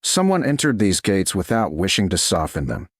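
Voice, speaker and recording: A man voicing a stern, reserved duke in a romance story, calm, formal and terse. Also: sits low, moderate pace, dry recording. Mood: quiet, intent.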